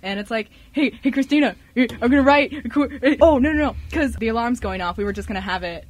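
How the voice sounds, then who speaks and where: A woman's voice: fairly high pitch, animated, fast talker. A woman hosting a radio show in casual chat, acting out bits of conversation as she goes. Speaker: radio show host and script writer. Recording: faint noise, amateur radio show recording.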